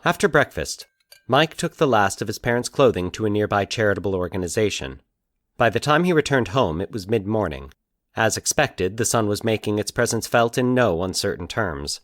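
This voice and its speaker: A man narrating a scripted fiction story in a measured, literary storytelling way. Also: lowish voice, expressive, no room sound.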